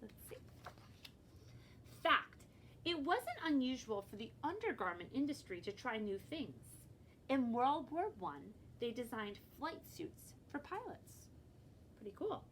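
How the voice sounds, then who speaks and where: A woman with a fairly high, very animated voice reads a children's picture book aloud. She is expressive, stepping out of the text to explain and prompt young listeners. Faint noise sits behind her voice. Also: takes it slowly, poor audio. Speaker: librarian reading aloud to children.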